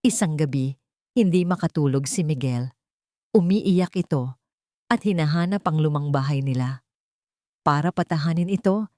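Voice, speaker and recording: A woman narrates a scripted drama in a fairly low voice. The sound is dry, with very little room. She breaks the story into short phrases with deliberate pauses. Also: dark tone, no room sound. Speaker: narrator of audio love stories.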